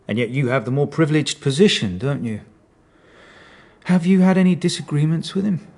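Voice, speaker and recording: An actor playing a patient, dryly sceptical police detective in a radio drama, questioning in a measured, understated way. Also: male voice, fairly high pitch, a little mellow. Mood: quietly insistent.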